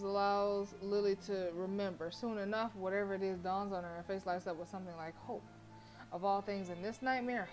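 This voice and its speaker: A woman livestreamer reading a visual novel aloud with informal, expressive commentary. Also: mid-range voice, low-quality recording. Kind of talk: casual game commentary and read-aloud narration.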